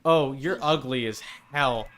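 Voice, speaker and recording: A man's voice: mid-range voice, unhurried, fairly bright tone. A man giving casual live commentary as he streams a video game and reads its prompts.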